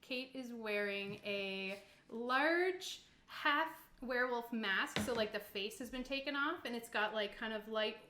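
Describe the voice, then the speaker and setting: A woman's voice: on the high side, a little slow, pushed and bright, a little hiss or hum. A woman hosting a tabletop roleplaying session as game master, chatting casually with her players. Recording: roomy echo.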